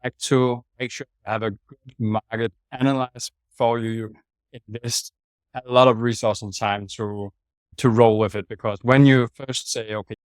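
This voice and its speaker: A man's voice: mid-range voice, fairly even intonation, normal speed, smooth, no room sound, clean studio sound. A man with a Danish accent, a sales manager giving direct, plain-spoken answers as an interview guest over a video call. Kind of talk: casual interview talk.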